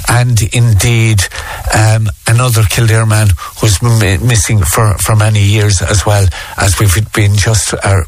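A man's voice: fairly low pitch, a little hiss or hum. Irish man hosting a radio talk show. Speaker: radio host.

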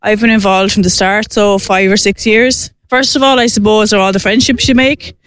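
A woman's voice: mid-range voice, steady tone, moderate pace, smooth. A woman with an Irish accent, a longtime team player, speaking in a cheerful, easygoing way. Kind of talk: casual interview.

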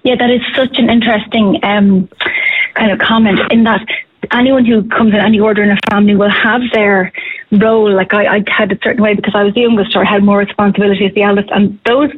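A woman, a clinical psychologist answering radio interview questions in a measured, hedging way with frequent restarts. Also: mid-range voice, flat delivery, breathy edge. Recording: phone line.

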